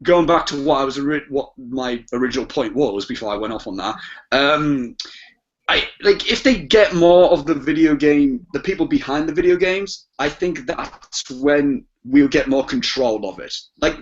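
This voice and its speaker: A man talking casually as a podcast panelist, informal and rambling as he discusses things. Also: on the high side. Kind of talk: casual film and game discussion. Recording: dull lo-fi podcast audio.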